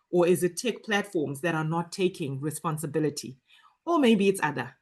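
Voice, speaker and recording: A woman's voice: fairly low pitch, a little mellow. A woman moderating an online panel forum, introducing speakers and reading out poll questions. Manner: brisk, reads out poll options.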